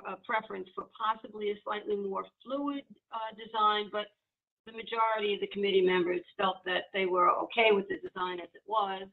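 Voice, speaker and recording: A woman reporting a committee's findings at a public board meeting, pausing often with fillers and restarts. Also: medium pitch. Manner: halting, many fillers and restarts. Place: home, video call. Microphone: video call. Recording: tinny phone-like video call audio.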